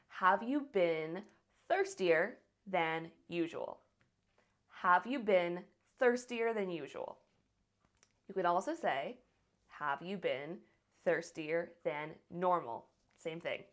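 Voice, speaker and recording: A woman in her thirties from the United States, a nurse practitioner teaching medical English phrases in a scripted online lesson, saying each model sentence slowly and deliberately. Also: medium pitch. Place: small home office with a green wall.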